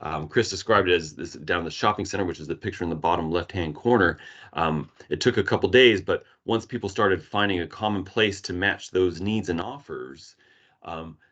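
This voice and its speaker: A middle-aged man from Arizona with an American accent, giving a relaxed webinar presentation with many fillers. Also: deep voice. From Arizona, United States. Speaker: emergency management presenter. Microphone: video call. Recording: dull lo-fi webinar audio.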